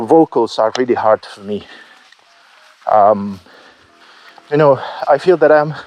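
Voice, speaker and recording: A man speaking slowly and haltingly, stopping often to restart his sentences. He sounds candid and hard on himself, now and then swearing as he thinks aloud in a loose, unscripted monologue. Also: medium pitch, darkish tone. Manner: hesitant, halting, many fillers and restarts; candid and self-critical, with occasional swearing. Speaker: solo musician vlogging his album process. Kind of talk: unscripted reflective vlog.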